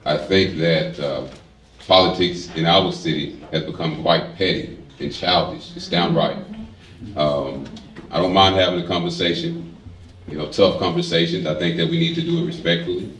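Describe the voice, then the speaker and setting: A man answering as a candidate at a forum, calm and diplomatic with some hesitation. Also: deep voice, moderate pace. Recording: echoey hall from far off.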